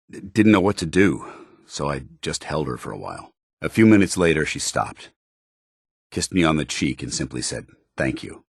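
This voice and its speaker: An American man voicing a stern, earnest, duty-bound military commander in a video game, speaking in formal, measured phrases. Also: a bass voice, slightly rough, dry recording, clean studio sound, full character voice. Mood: somber, confiding. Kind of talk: video game companion dialogue.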